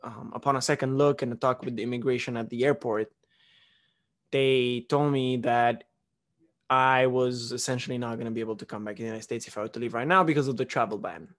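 A man's voice: medium pitch, fairly even intonation, brisk, fairly bright tone. A young man from Romania, a podcast host chatting casually at home into a close studio mic, rambling with restarts and fillers. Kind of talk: casual chat. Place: living room at home. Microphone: studio mic on boom arm, close.

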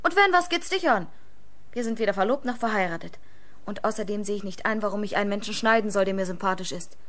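An actress playing a defiant, exasperated young woman in a German radio crime play, with a brisk, snappish manner. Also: mid-range voice, fairly bright tone, natural voice.

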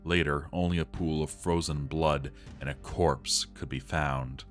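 Man acting a deep-voiced despairing dwarf. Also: fairly even intonation, soft background music. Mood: bleak despair. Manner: grave, slow, theatrical. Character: despairing male dwarf armorer journaling, slipping into madness. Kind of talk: dramatic journal reading.

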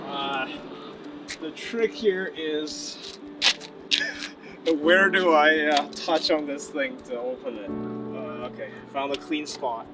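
A man in his thirties filming an electric car road-trip vlog at highway rest stops, talking to camera in a relaxed way with lots of "uh" fillers. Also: takes it slowly, pauses often, over loud music. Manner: relaxed, frequent "uh" fillers, matter-of-fact. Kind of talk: casual travel vlog to camera. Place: outdoors at highway rest stops and charging stations. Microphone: camera mic, filmed at close to mid range. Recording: outdoor camera audio with clear background noise.

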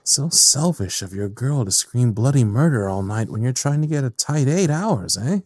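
A man playing a sadistic, mocking evil scientist in an audio drama, with a theatrical, measured delivery. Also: mid-range voice, lively intonation, a little mellow, dry recording, studio quality. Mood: mockingly cheerful.

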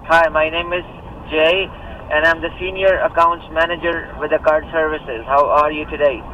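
A man on a phone call, reading a formal, scripted pitch. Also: steady tone, normal speed, distant mic, a little hiss or hum. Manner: smooth, formal scripted delivery. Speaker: scam caller. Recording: muffled phone audio over car speaker.

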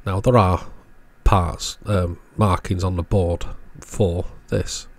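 A man's voice: sits low, steady tone, takes it slowly. A man giving an informal technical walkthrough as host of an electronics repair video.

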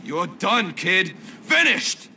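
An actor playing a hot-headed, lovesick American teenage greaser gang leader in a video game, melodramatic and menacing. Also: male voice, high voice, big pitch swings, takes it slowly, bright, forceful tone, far from the mic, slight background noise, full character voice. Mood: threatening. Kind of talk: video game cutscene acting.

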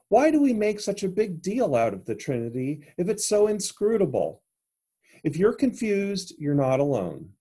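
A middle-aged man preaches an earnest homily from a room at home, speaking into a desk webcam. His voice is mellow and slightly husky, and its pitch rises and falls a great deal as he explains.

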